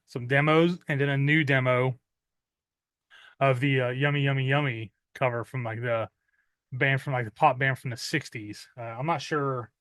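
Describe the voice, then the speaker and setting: Male in his thirties, average pitch, casual rambling record-haul talker, recorded dry and close. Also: fairly bright tone, dry recording. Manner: rambling with many "uh" fillers, clears throat often. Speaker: metal music vlogger. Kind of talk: casual unboxing chat.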